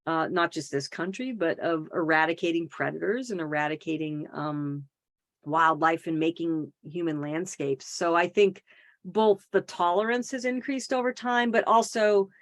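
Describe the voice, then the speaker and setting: Chatty middle-aged woman, lowish voice. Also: normal speed. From Boston area, Massachusetts. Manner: chatty storyteller, self-deprecating humor, frequent fillers. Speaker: podcast guest, wildlife advocate. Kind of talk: casual conversational interview.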